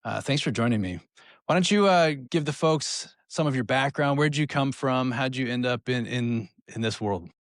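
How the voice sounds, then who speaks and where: A man's voice: mid-range voice, lively intonation, moderate pace, no room sound, clean studio sound. A man hosting a podcast, welcoming a guest and reacting with short, upbeat remarks. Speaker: podcast host. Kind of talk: podcast interview host.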